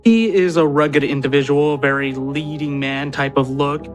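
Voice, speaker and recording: A man's voice: a little high, moderate pace. A man talking casually as a player in a tabletop game.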